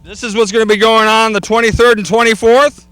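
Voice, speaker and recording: A man's voice: high voice, little pitch movement, a little fast, pushed and bright, smooth. A man hosting outdoors on a wooded trail, chatting and joking with guests. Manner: jokey, chatty. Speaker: host. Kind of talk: casual chat.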